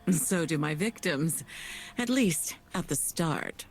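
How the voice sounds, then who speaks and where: A woman's voice: low-pitched, animated, slow pace, a little hiss or hum. A woman voicing a disdainful, bored and dangerous tormentor in a video game. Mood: cool disdain. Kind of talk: video game character voice acting.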